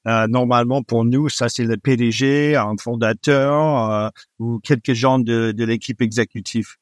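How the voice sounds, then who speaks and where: A middle-aged man speaking French with a foreign accent, not his first language. He answers an interviewer at an easy pace, filling pauses with "euh". The sound is dry and close, with no room around it. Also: medium pitch, steady tone, dry recording. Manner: frequent hesitations with "euh", warm laughs. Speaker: guest, executive recruiter. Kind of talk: relaxed interview conversation.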